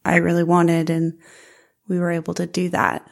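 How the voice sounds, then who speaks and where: A woman co-hosting a casual chat, telling stories with a loud, carrying voice and frequent laughter. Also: fairly low pitch, moderate pace, gravelly. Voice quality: loud voice that carries. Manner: animated storyteller who laughs often. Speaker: podcast co-host.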